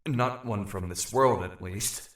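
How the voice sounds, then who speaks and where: A nervous young outsider from another realm, thinking aloud in an audio drama. His voice is mid-pitched, echoey and set back from the mic, hesitant and wary. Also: slow pace. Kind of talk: inner monologue in audio drama. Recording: echoey, distant-sounding inner-voice treatment.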